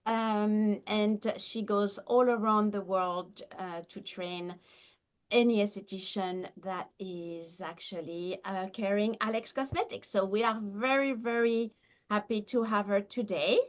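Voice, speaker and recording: A woman's voice: mid-range voice, steady tone, a little slow, dull audio. Enthusiastic woman hosting a webinar. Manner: enthusiastic, encouraging. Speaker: trainer and webinar host. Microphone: video call.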